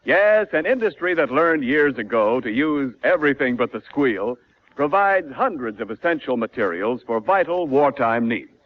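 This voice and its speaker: Male announcer, 1940s radio, declamatory, formal script, lively intonation, lo-fi broadcast sound. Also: mid-range voice, moderate pace, background noise. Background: music.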